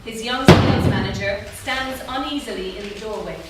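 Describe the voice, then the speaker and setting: A woman's voice: mid-range voice, moderate pace. A woman reading stage directions as scripted narration at a staged theatre reading. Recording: distant, very echoey hall, clear noise.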